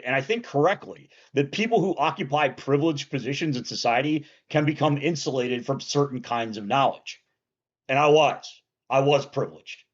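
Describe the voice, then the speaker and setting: Earnest measured man, slightly dull sound. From South Central Pennsylvania. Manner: earnest, measured, trails off low at line ends. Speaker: commentator on law and politics. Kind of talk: reflective scripted commentary.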